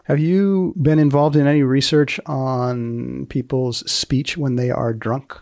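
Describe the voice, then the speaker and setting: A man narrates as a podcast host in a measured, deadpan style. His voice is gravelly and rough around the edges, with a very dry, close sound. The phrasing is deliberately formal. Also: mid-range voice, no room sound.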